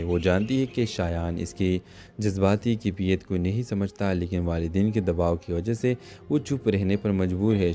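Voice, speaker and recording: A man's voice: deep voice, warm tone. A man reading a scripted recap of a television drama as a review narrator.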